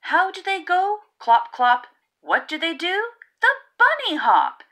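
A woman reading a children's picture book aloud in a rhythmic, theatrical way with drawn-out emphasis. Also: very high-pitched, huge pitch swings, pushed and bright. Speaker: read-aloud narrator of children's picture books. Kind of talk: expressive storybook reading.

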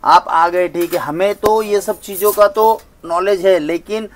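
Persuasive high-voiced man. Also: a little slow, a little hiss or hum. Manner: emphatic, persuasive, gestures while talking. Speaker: saree wholesaler. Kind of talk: sales pitch in a shop interview. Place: large indoor saree showroom.

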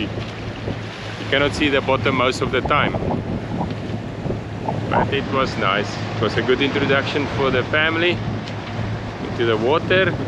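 Guy in his 40s, first language afrikaans, slow easy sailing vlog talk, wind and waves loud on the boat mic. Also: medium pitch. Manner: relaxed, explains as he goes, with playful asides. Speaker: sailing vlogger. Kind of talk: casual vlog narration. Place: deck of a sailing catamaran at sea, outdoors. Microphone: action camera on the boat. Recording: windy outdoor camera audio. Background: wind and water noise.

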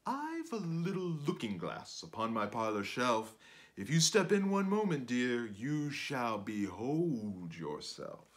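A man's voice: medium pitch, very animated, slow pace. A man voicing a cunning male spider villain, courtly and coaxing, in a storybook read-aloud. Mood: flattering.

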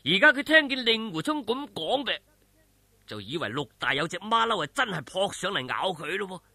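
A high-voiced man with a Hong Kong Cantonese accent tells a martial arts tale theatrically. His voice is very sharp and forceful, with a husky edge, and he keeps the suspense up in colloquial language. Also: very animated, moderate pace, dry recording. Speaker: storyteller. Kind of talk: dramatic audiobook-style martial arts storytelling.

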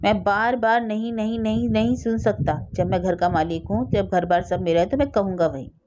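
A woman's voice: medium pitch, animated, normal speed, mellow, lo-fi sound, lightly acted. A woman voicing a blustering, easily cowed elder brother in a village story, indignant and boastful.

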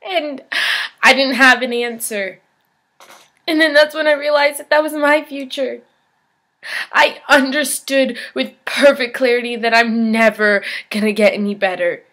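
A woman performing a dramatic monologue as a despairing young woman, speaking in a halting, emotional confession. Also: a little high, fairly even intonation. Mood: hopeless, resigned. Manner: halting, emotional, swinging from hushed to anguished. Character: despairing suicidal young woman baring her pain in confession.

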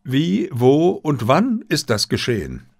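A narrator playing an inquisitive male master detective in an audiobook. He is brisk and sure of himself, pitched above the narration, in a dry, close recording. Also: wildly swooping pitch, creaky, darkish tone, dry recording, heavily acted. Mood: probing, keen. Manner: brisk, probing, self-assured. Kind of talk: audiobook character dialogue.

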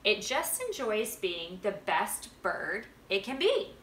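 A woman's voice: mid-range voice, very animated, slow, fairly bright tone, recorded from a distance. A woman reading the part of a kindly, wise storybook grandfather, patient, encouraging and emphatic. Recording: roomy home recording with background noise.

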